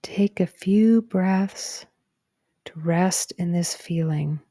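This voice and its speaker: A woman narrating a guided meditation in a gentle, calm and soothing way, with soft breathy phrase endings. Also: fairly low pitch, slow pace, no echo, clean studio sound. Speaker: psychologist guiding a meditation.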